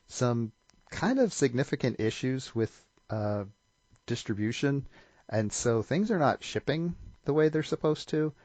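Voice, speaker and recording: A man's voice: medium pitch, dry recording. Casual man hosting, close dry sound. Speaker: podcast host. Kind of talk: casual chat.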